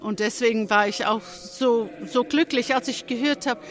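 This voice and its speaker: A speaker with a cold giving an earnest testimony at a church service, pausing often and restarting phrases before rising to fervent emphasis. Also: mid-range voice, fairly bright tone, faint music behind. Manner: halting, with frequent restarts and pauses. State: has a cold.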